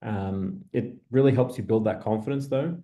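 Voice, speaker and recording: A man answering an industry question in an online info session, pausing often and restarting. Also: lowish voice, mellow. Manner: halting, full of ums and restarts. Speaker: industry partnerships staff member.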